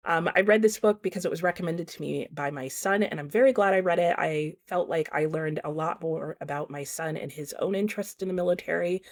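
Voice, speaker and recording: A woman's voice: fairly low pitch, a little fast, few pauses. A middle-aged woman giving a casual book review in a reflective, rambling way, often correcting herself.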